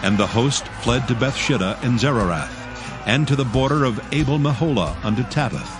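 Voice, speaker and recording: Male narrator for dramatized scripture, mid-pitched, formal and measured, with a strong music bed. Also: lively intonation.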